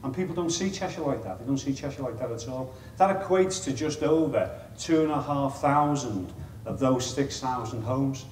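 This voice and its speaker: A man's voice: medium pitch, normal speed, few pauses, darkish tone. A middle-aged man with a Liverpool accent talks to a meeting room full of people, chatty and wry. His voice sounds roomy and a bit distant from the mic, with a faint hiss underneath. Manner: chatty, self-deprecating, wry asides. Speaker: chief executive giving a conference talk. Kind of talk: informal presentation to an audience. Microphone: lapel mic.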